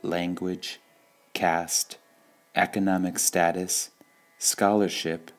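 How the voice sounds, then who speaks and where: Deep slow-voiced man reading sayings. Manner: calm, measured, with pauses between phrases. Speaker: reader of spiritual sayings. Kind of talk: slow meditative reading of quotations.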